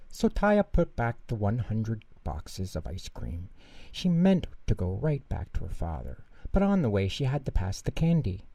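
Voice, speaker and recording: A man reading a children's bedtime story aloud in an animated narrating style. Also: fairly low pitch, moderate pace. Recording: roomy echo, distant mic.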